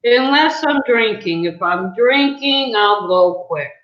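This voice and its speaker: A woman's voice: on the high side, lively intonation, slow. A middle-aged woman from New England chatting casually and candidly on a livestream, telling personal stories in a rambling way. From New England, United States. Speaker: livestream host and interviewer. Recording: roomy, echoey, muffled lo-fi audio.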